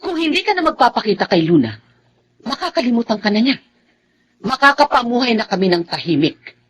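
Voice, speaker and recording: An actress playing a hostile, domineering and protective older sister with a Filipino accent in a radio drama. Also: female voice, fairly high pitch, big pitch swings, fairly quick, slightly rough. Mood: bitter, commanding. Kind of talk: Tagalog radio drama acting.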